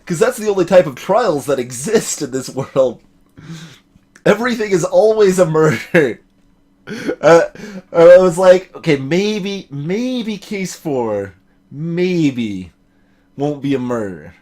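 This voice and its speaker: Animated man rambling over a game. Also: a little high, slow pace. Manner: rambling, exasperated repetition. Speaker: let's play host. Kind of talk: casual game commentary.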